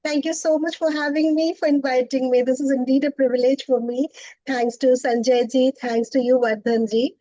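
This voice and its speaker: A middle-aged woman from Bengal with an Indian English accent, a poet and professor speaking as a guest, often laughing and thoughtful with pauses. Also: sits high, steady tone, dark tone, no room sound, muffled recording. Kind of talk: interview conversation.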